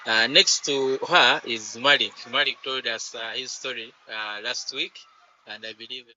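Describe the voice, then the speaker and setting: A man hosting a sports talk show, speaking slowly with many filler sounds. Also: mid-range voice, steady tone, sharp tone, muffled recording, a little hiss or hum. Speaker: show host.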